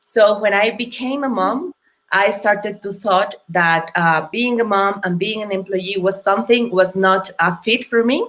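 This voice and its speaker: A woman from Mexico with a Mexican accent, a non-native English speaker, telling her story as an interview guest in an earnest, thoughtful and halting way. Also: medium pitch, fairly bright tone, poor audio. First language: Spanish. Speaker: guest entrepreneur.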